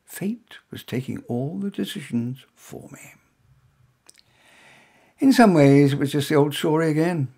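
Gravelly, husky man reading wryly. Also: a little high, expressive, measured pace, long pauses, dark tone. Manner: wry, self-deprecating, hesitant. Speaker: author reading his own story. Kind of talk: scripted first-person story narration.

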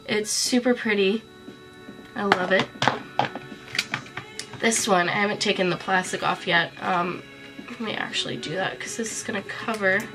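A woman's voice: mid-range voice, steady tone, moderate pace, long pauses, over loud music, rough recording. A woman in her twenties with a cold, chatting casually to the camera in a bedroom as she shows off a collection. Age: 20s. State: sick with a cold. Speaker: vlogger. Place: small bedroom, indoors.

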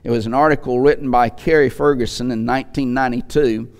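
A man's voice: medium pitch, fairly even intonation, fast talker. A man in his sixties preaching a sermon from a church pulpit into a headset mic, emphatic, with rhetorical questions and repetition. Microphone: headset microphone.

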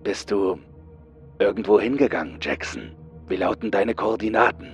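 A man's voice: fairly low pitch, big pitch swings, normal speed, gravelly, soft background music, voice pushed up. A man voicing an authoritative male astronaut commander in a horror audiobook, giving urgent, clipped orders. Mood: firm, calming. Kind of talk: dramatised horror audiobook dialogue.

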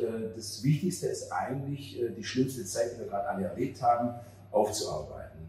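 A man in his fifties gives conversational interview answers, pausing often to find his words. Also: fairly low pitch, warm tone. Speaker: theater director being interviewed. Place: outdoor café table on a street at night. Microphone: handheld interview mic held by interviewer. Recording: very roomy, distant mic, faint ambient noise.

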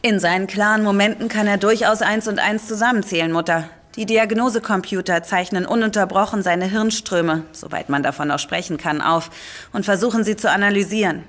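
A level-headed female scientist, played by a woman, with a brisk, mid-pitched voice. She explains technical matters quickly and pushes back indignantly, in a German radio play. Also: a bit bright, close to an everyday voice. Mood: explaining.

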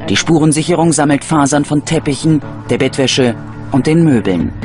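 A man's voice: on the high side, expressive, normal speed. A man reading scripted true-crime documentary narration as the narrator. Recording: music behind the voice. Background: background music.

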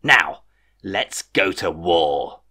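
A man's voice: lowish voice, big pitch swings, moderate pace, sharp tone, heavily acted. A man voicing a petulant, vindictive young space overlord in a whiny, theatrical way.

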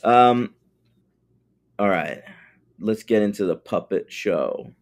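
Profane, animated podcast co-host man. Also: fairly low pitch, slow. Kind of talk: casual podcast chat.

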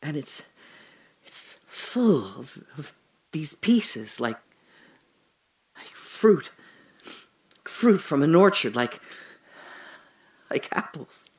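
An actor playing a dying older father, telling his memories in a halting, breathy dramatic monologue that drops to whispers. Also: on the high side, big pitch swings. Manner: halting, breathy, emotional, many pauses and restarts. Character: dying old father recalling his daughter's birth.

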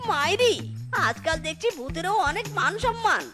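Very high squeaky male fox, henpecked, whiny, cheeky, cartoon exclamations, loud music behind. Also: sing-song, normal speed. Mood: mocking. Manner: exclaiming, complaining. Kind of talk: animated cartoon dialogue.

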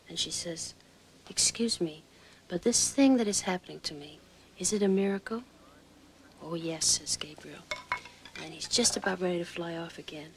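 A woman's voice: on the low side, natural voice, poor audio. Actress as a mother whispering a saint story. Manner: hushed, confiding storytelling that drops to a whisper. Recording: film clip with faint background hiss.

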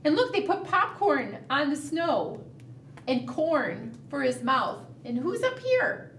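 High-pitched woman reading slowly to preschoolers. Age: middle-aged. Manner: animated, sing-song, coaxing with questions. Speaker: children's librarian leading preschool storytime. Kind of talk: storytime read-aloud and chat addressed to small children. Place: small indoor room, seated at a table. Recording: roomy, distant mic, faint room noise.

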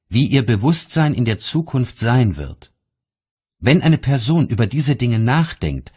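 A man narrating an audiobook of spiritual teachings in a measured, deliberate, solemn reading style. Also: mid-range voice, a little mellow, husky.

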